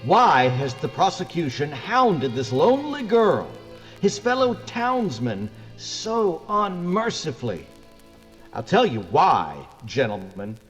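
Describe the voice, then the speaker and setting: Guy doing earnest old radio defense lawyer, slow and theatrical, voice swings a lot, big hall reverb. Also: fairly high pitch. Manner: oratorical, dramatic pauses. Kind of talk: 1940s radio drama acting. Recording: long hall reverb, music behind.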